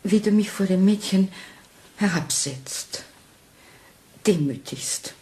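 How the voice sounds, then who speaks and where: A woman's voice: medium pitch, animated, takes it slowly. Radio play role: submissive, fragile young-lady-of-the-house type, mid-range voice, hesitant delivery, slight background noise. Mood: hurt, pleading. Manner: hesitant, pleading, often hushed. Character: timid, fragile, submissive Victorian wife. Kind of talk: German radio play acting.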